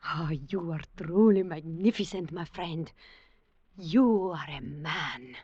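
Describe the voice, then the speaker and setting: An actress in a 1940s radio drama playing a bold, mysterious, seductive woman with stilted, exotic phrasing and a flattering manner. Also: sits low, takes it slowly, breathy edge, full character voice. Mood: admiring. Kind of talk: 1940s radio acting, melodramatic. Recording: dull, noisy restored 1940s radio recording.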